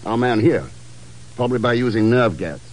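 An actor playing a cool, determined secret agent, clipped and wry, in an old-time radio drama. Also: male voice, mid-range voice, moderate pace, lightly acted, poor audio. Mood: urgent, firm.

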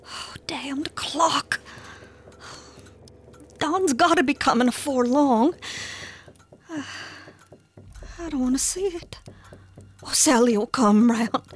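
A woman playing a terrified frontier wife with a rural American accent in a radio drama, halting and folksy as dread tips her toward madness. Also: high voice. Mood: anxious, hushed. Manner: slow, halting, folksy speech. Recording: music behind.

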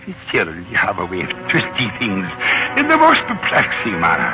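Wildly animated old Irish leprechaun. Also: male voice, lowish voice, moderate pace, over music. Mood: grateful, wheedling. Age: older. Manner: wheedling, exclamatory, theatrical. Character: wily, excitable little old male leprechaun shoemaker. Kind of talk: 1940s radio acting. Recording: dull lo-fi 1940s radio recording.

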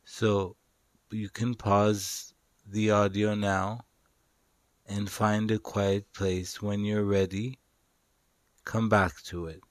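Guy doing a guided meditation, really slow and low, calm, lots of long pauses, dry sound. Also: dry recording. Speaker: meditation guide and show host.

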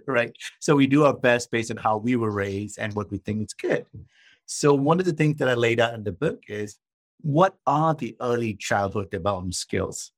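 A man's voice: mid-range voice, lively intonation, normal speed, no room sound. A man in his fifties, from Malaysia, explaining earnestly as a guest in a podcast interview. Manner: earnest, explanatory, uses rhetorical questions. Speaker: guest author and coach.

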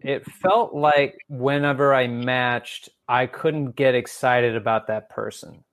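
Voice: mid-range voice, slow. Easygoing, laughing podcast co-host. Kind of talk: casual chat.

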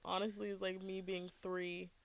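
A woman guest in a casual chat, outgoing and quick to laugh. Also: mid-range voice, little pitch movement, gravelly, dry recording.

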